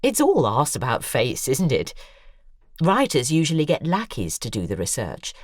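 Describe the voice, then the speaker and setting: A commanding, embittered older female author in an audio drama. Her voice is very deep for a woman and moves expressively up and down. She speaks theatrically and insistently, recorded close and dry. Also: a little mellow, no echo, heavily acted. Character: bitter, imperious older woman, a famous novelist confessing.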